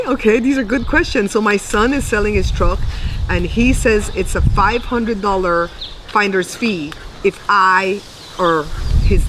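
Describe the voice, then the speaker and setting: A middle-aged woman reading tarot on camera in a casual, colloquial, teasing way. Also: medium pitch. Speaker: tarot reader and video host. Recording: noisy outdoor recording with animal sounds.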